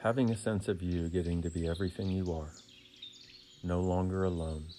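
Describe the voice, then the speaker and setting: Deep-voiced man guiding a meditation. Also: steady tone, mellow, soft background music. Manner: soothing, deliberate, with short phrases and long pauses. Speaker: meditation guide. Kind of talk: guided meditation.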